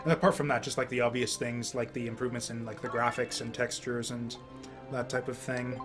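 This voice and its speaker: A man giving casual let's play commentary in a rambling, halting way, often repeating himself. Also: mid-range voice, level tone. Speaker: game streamer. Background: game music.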